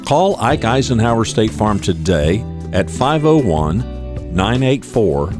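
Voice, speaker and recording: A man's voice: fairly low pitch, very animated, takes it slowly, over music. A man reading scripted sponsor messages as a podcast announcer. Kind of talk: scripted ad read.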